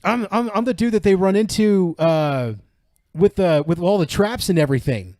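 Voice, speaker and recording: A man co-hosting a podcast with excitable, joking, slangy banter and casual swearing. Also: sits high, moderate pace, dry recording, clean studio sound. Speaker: podcast co-host.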